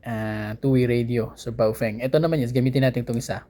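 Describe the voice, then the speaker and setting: A man's voice: medium pitch, fairly even intonation, dark tone. Casual Filipino man reviewing a product. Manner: conversational, halting, searching for words. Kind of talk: casual unboxing and review talk.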